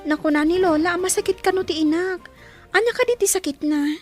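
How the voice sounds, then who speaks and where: A woman's voice: very high voice, brisk, soft background music. A woman acting a troubled young girl in an Ilocano audio drama. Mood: concerned, curious. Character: troubled young girl asking after her sick mother. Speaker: actor in a drama.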